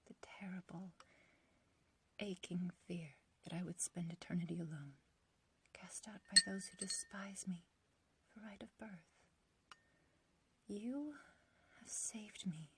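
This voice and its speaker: A woman's voice: fairly low pitch, slow pace, long pauses, slight background noise. A woman playing an ancient but youthful witch in a whispered ASMR roleplay, obsessively loving and possessive, with archaic, intimate phrasing. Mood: coaxing, possessive. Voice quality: breathy, whispery.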